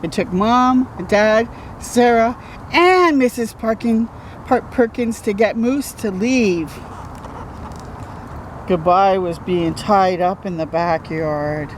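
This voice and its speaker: A woman reading a children's picture book aloud as a storytime host, expressive and chatting to young listeners. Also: mid-range voice, slow, slight background noise. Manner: expressive, interjects comments and questions to young listeners.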